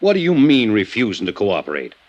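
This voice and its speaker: An actor playing a defensive, testy small-town county attorney in a 1950s radio drama, guarded and quick to protest. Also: male voice, lowish voice, wildly swooping pitch, lo-fi sound. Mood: indignant.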